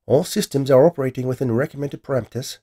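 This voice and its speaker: A man voicing a dispassionate, precise female AI lab assistant with an Australian accent and formal, measured delivery in a science fiction story. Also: medium pitch, warm tone, voice pushed up, natural voice.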